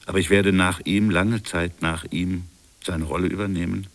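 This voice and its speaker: Very deep-voiced, grave colonial official. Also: male voice, steady tone, normal speed. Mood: grave, reflective. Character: grave colonial official recounting a buried crime. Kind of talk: literary radio drama monologue.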